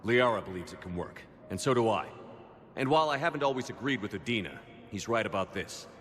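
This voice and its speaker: A man's voice: on the low side, animated, brisk, a bit bright, long reverb tail, a little hiss or hum. A man playing a resolute, earnest military commander in a video game, terse and firm. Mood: resolute, urgent. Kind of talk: dramatic video game acting.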